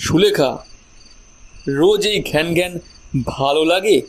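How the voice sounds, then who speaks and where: A man's voice: high-pitched, measured pace, poor audio. A man acting a weak, irritable husband ashamed of being childless, in a horror audio drama. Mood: irritated, ashamed.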